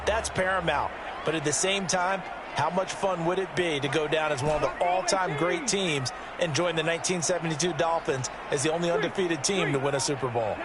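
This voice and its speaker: Color analyst, male, high voice, chatty and opinionated. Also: fairly quick, a loud crowd, heavy background noise. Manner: chatty, explanatory, opinionated asides. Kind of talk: sports color commentary.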